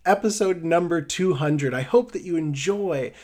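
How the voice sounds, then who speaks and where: A man's voice: on the high side, moderate pace, darkish tone. A man with a Midwestern American accent hosting a faith-based podcast in an enthusiastic, effusive way. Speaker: podcast host. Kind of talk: podcast hosting and sponsor appeal.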